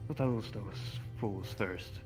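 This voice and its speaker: A man's voice: lowish voice, slow pace. A man playing a curt, secretive henchman in a live roleplay game, speaking tersely. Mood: urging. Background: music.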